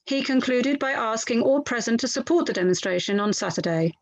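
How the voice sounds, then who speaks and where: A woman reading the part of an inquiry lawyer from a transcript, courteous and methodical, slightly halting. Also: on the high side, animated, dry recording, highs cut off. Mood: polite, methodical. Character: formal, polite inquiry lawyer questioning a witness.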